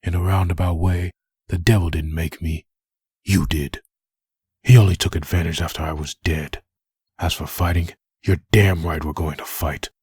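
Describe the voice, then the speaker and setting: A man playing a vengeful undead antihero in a comic dub, raspy, bitter and accusing. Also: low-pitched, fairly even intonation, normal speed, darkish tone, no echo, clean studio sound. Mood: bitter, taunting. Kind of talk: fan comic dub acting.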